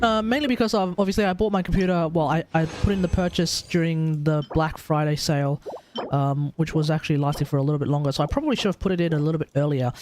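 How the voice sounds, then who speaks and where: A man's voice: a little high, fast, noisy background. An Australian man chatting casually as a game live streamer, rambling and often rewording himself as he goes. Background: game music.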